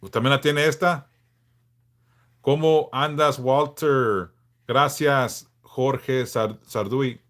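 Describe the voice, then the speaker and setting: A man in his forties from Southern California, hosting a casual livestream and answering viewers in a frank, laid-back way with halting restarts. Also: mid-range voice, steady tone, fairly bright tone. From Los Angeles, California. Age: 40s. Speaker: livestream host.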